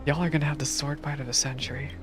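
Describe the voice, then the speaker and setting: A man's voice: fairly high pitch, level tone, rapid-fire. A man giving casual, playful live-stream reactions to a game, with informal, exclamatory slang. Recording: game music behind voice. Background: game music and dialogue.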